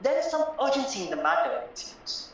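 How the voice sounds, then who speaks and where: A formal, earnest solicitor acted by a woman in an audio play, precise in delivery. The voice moves animatedly in pitch and comes through muffled and heavily echoing. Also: wildly swooping pitch, a little fast, slight background noise. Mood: grave, formal. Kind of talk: stage-style audio play acting. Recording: very echoey, distant, muffled, music behind.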